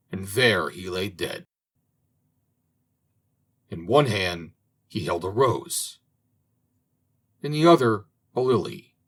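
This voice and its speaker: A man reading an old fairy tale aloud as an audiobook narrator, in a slow, expressive manner. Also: fairly low pitch, breathy edge. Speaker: audiobook reader. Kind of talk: storybook narration.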